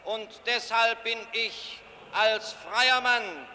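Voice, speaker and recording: A man interpreting a speech live, measured and slightly halting as he corrects himself, in a reverberant archival recording with faint noise. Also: extremely high pitch, bright, forceful tone.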